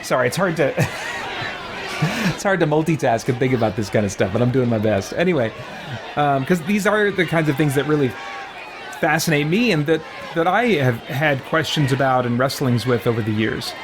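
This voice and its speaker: Halting, thoughtful man over noisy gameplay. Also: mid-range voice, animated, a crowd in the background. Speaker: let's play host. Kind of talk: philosophical commentary over gameplay.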